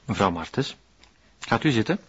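Soothing Flemish hospital doctor, slightly deep husky voice, muffled dry recording, crime drama. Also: male voice, big pitch swings, normal speed, loud music behind the voice. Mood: reassuring. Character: calm, reassuring male hospital surgeon. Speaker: actor.

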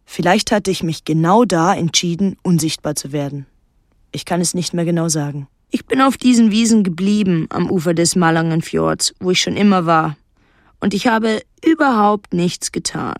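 An actress playing a solitary, watchful island woman, narrating a literary radio drama in a measured, restrained and introspective way. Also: on the low side, no room sound, studio quality, lightly acted. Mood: reflective, wistful.

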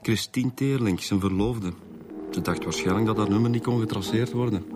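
An actor with a Flemish accent playing a matter-of-fact, dryly amused police detective in a radio drama. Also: male voice, fairly low pitch, moderate pace, close to an everyday voice. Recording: music behind the voice.

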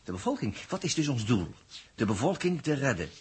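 An actor playing a cocky, crafty space agent, breezy and bossy, in a 1960s science-fiction radio drama. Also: male voice, mid-range voice, sing-song, brisk, husky. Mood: urging, coaxing. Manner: breezy, scornful, coaxing.

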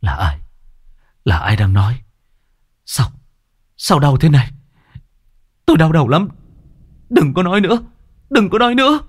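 A man's voice: on the high side, very animated, moderate pace, pauses often, slightly rough, voice pushed up. Give me a man acting out a delirious young man in pain, groaning, in a horror story. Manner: groaning, pained, confused. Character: young man, feverish and in agony.

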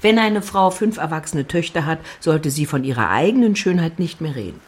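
An actress playing an excitable, nagging middle-aged wife and mother in a period radio drama, dramatic, complaining and insistent. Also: low-pitched, a little fast, breathy edge. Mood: wounded, insistent.

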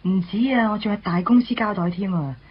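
An actress playing a sweet, naive young woman in a Hong Kong Cantonese radio drama, speaking brightly and innocently. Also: mid-range voice, a little fast, slight background noise, natural voice. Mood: cheerful. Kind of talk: 1990s radio drama acting. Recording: dull lo-fi radio recording, faint music.

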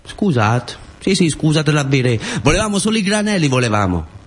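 A man's voice: fairly high pitch, sing-song, moderate pace, breathy edge, pitched above the performer's own voice. A man voicing a nervous boy in a stage monologue, apologising repeatedly and tripping over his words. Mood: apologetic, nervous. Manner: stammering, repetitive, apologetic.

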